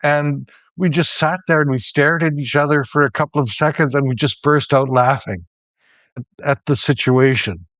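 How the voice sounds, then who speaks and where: A middle-aged Canadian man, a former professor, telling personal stories in a relaxed conversation with many restarts. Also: a little high, moderate pace, no room sound, lo-fi sound. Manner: reflective storyteller, many restarts. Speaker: podcast co-host, former professor. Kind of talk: casual conversation, storytelling.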